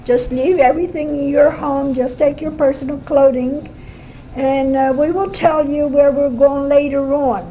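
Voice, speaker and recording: A woman's voice: high-pitched, steady tone, mellow. Older woman recounting her childhood. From Armenia (Erzurum, Turkey). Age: 70s. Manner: slow, halting storytelling with fillers. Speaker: interviewee. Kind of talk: oral history interview. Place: home kitchen. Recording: lo-fi home cassette tape with faint hiss.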